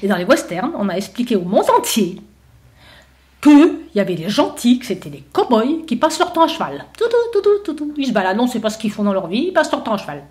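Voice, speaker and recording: A woman's voice: fairly high pitch, sing-song, quick pace, a little mellow. A woman voicing an arrogant, bullying American envoy who lectures in a self-assured, emphatic way. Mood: lecturing.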